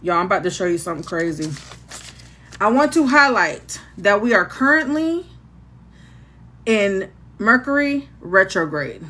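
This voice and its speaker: A woman's voice: mid-range voice, sing-song, unhurried, long pauses, sharp tone. A woman with a country accent hosting a casual tarot livestream, chatting with her viewers. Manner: chatty, talks to her chat. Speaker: tarot reader livestream host. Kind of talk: casual live chat.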